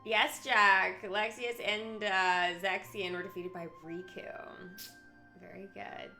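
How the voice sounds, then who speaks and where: A woman with a bright, forward voice at a middle pitch, streaming with game music playing behind her. She reads out profiles, then drops into casual, excitable commentary for her viewers. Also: slow. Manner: chatty, excitable, breaking into asides to viewers. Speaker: live streamer. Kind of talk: reading aloud character profiles with casual commentary.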